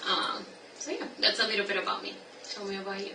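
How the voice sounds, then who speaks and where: A woman in her twenties with a Colombian accent, gently opening an interview in a friendly way with soft laughs and fillers. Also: mid-range voice, fast. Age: 20s. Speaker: forensic interviewer. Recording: echoey room, distant mic, noisy.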